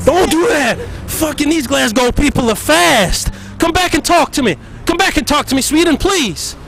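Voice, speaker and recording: A man plays a cocky games host with a strikingly high voice. He is foul-mouthed and folksy, presenting like a comic roving interviewer. Music plays clearly behind him. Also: lively intonation, normal speed, a bit bright, exaggerated voice. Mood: crude banter. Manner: swaggering, crude, folksy. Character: brash foul-mouthed American games-show host from Milwaukee. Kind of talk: comedic presenting and street interviews. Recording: music behind, improvised handheld microphone.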